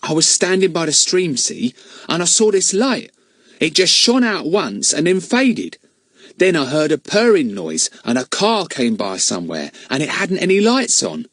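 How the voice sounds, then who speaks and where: A man's voice: a little high, sing-song, moderate pace, fairly bright tone, no room sound, clean studio sound, voice pushed up, heavily acted. A man voicing a sulky, indignant boy. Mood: eager. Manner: indignant, protesting. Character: sulky working-class boy, indignant and stubborn. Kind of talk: audiobook character voice.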